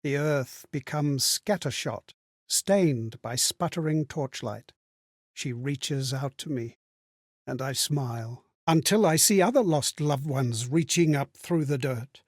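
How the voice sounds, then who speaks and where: A man's voice: mid-range voice, slow, no echo, clean studio sound. A man playing an earnest, sorrowful male companion in a game, who relives other people's memories in reflective, theatrical monologues. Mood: haunted, reflective. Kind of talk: dramatic game voice-over monologue.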